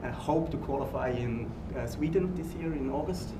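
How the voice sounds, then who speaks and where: A man's voice: mid-range voice, normal speed. A man with a Swiss accent, a triathlete, introducing himself casually and in a friendly way on a panel. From Switzerland. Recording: echoey room, distant mic, loud noise.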